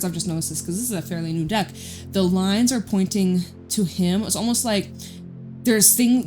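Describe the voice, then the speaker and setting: A woman giving a casual tarot reading in a loud, chatty voice, rambling off into side stories. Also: on the low side. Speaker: tarot reader. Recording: guitar music playing behind. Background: guitar music.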